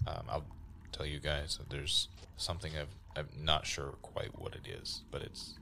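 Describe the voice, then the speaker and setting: A man's voice: extremely low pitch, lively intonation, normal speed, creaky, pushed and bright, a little hiss or hum. A man playing a tabletop role-playing game, talking casually and tentatively as he thinks his moves through aloud.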